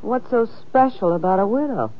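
An actress playing a brash, world-weary schemer who talks in sardonic slang, in an old-time radio crime drama. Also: female voice, fairly high pitch, lively intonation, moderate pace, close to an everyday voice. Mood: flirtatious, wry. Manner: sardonic, slangy tough-dame talk. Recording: muffled old radio recording.

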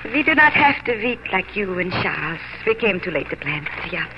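An actress playing a lonely, kindly immigrant farm neighbor, halting and emotional, in 1940s radio drama style. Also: female voice, medium pitch, very animated, lightly acted. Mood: wistful. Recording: muffled, noisy 1940s radio recording.

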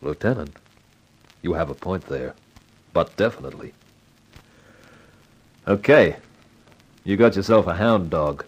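An actor playing a cocky, wry amateur sleuth in a slangy 1950s radio detective drama, both narrating and in dialogue. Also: male voice, low-pitched, expressive, unhurried, long pauses, lo-fi sound. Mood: wryly agreeable. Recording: vintage 1950s radio recording.

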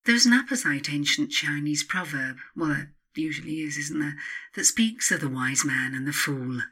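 A woman reading her own self-help book as scripted narration, in a measured, instructive manner with emphatic pauses. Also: low voice, lively intonation, bright, forceful tone, husky.

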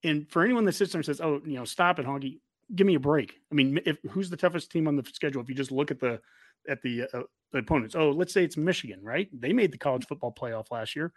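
A fairly high-pitched American man hosts a casual sports discussion. He speaks emphatically, circling back to repeat his points, in a very dry, close sound. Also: fast, no room sound. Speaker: podcast host. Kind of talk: casual sports talk about college football.

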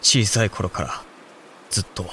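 A man's voice: lowish voice, normal speed, bright, forceful tone, slight background noise. A man playing an earnest young samurai heir, formal, respectful and intense with his father, in a Japanese historical audio drama. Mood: solemn.

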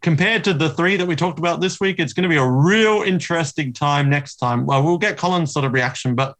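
A man with a slightly high voice talks as a podcast host. His delivery is casual and conversational, like someone discussing books with friends. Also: fairly quick, fairly bright tone. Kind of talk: casual chat about books.

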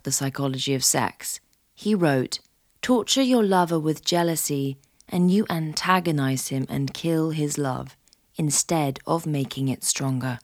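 A woman hosting a podcast, reading scripted narration and poetry in a measured, literary way. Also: sits low, vocal fry, no echo, clean studio sound. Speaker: podcast host.

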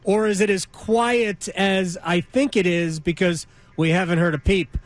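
A man's voice: high voice, steady tone, unhurried. A man hosting a sports talk radio show in a casual, emphatic and opinionated way on a studio microphone. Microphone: close studio microphone.

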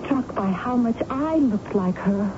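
An actress playing an earnest, insistent young daughter haunted by a murder in a radio mystery drama. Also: female voice, on the high side, expressive, a little slow, over loud music. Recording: noisy, dull old radio broadcast tape.

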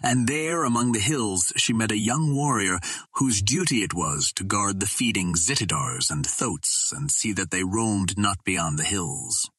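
A man voicing Sola, a lonely, gentle young female green Martian, speaking softly and wistfully in an audiobook. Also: fairly low pitch, animated, unhurried, husky, no room sound, exaggerated voice.

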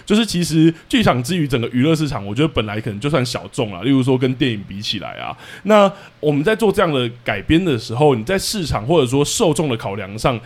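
A man's voice: mid-range voice, big pitch swings, fast, few pauses. A man with a Taiwanese accent hosting a casual interview, putting questions to his guest. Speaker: podcast host, interviewer.

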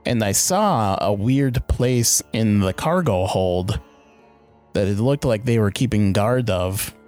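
A man's voice: on the low side, lively intonation, takes it slowly, darkish tone, soft background music. A man playing in a tabletop role-playing podcast, speaking casually, partly in character in his everyday voice. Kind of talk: casual in-character game chat.

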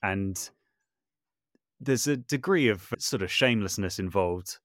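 A man's voice: on the low side, expressive, measured pace, fairly bright tone, no echo, clean studio sound. A man speaking reflectively as an interview guest, thoughtful and often hedging or correcting himself as he goes. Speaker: podcast guest, audio drama maker and composer.

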